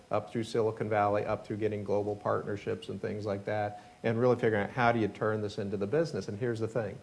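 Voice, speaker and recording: A man with a low voice moderates a panel in a conference room. He introduces the speakers in a measured, explanatory way and uses some fillers. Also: a little mellow.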